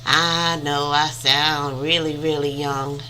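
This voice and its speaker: Woman, 50s, livestream host, easygoing rambling chat, crisp forward tone, takes her time. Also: low voice, level tone, takes it slowly, bright, forceful tone, a little hiss or hum. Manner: rambling and chatty, thanks viewers by name.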